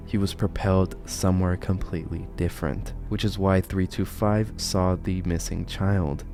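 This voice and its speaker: A man's voice: deep voice, vocal fry. A man narrating and commenting on horror videos as an online host.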